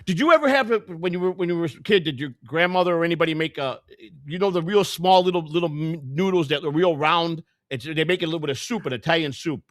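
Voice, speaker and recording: A middle-aged man with a Chicago accent co-hosting a casual livestream chat, halting and repeating himself as he talks. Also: on the high side, fairly bright tone, no room sound, studio quality. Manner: halting, repeats words and restarts often. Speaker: co-host.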